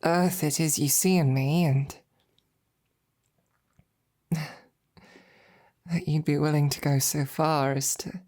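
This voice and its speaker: Low, slow-spoken devoted lady knight played by a woman. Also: fairly even intonation. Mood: earnest, hesitant. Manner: hesitant, halting, softly earnest. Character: devoted female royal knight, tender, courting her princess. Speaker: audio roleplay performer. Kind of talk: intimate scripted roleplay monologue to the listener.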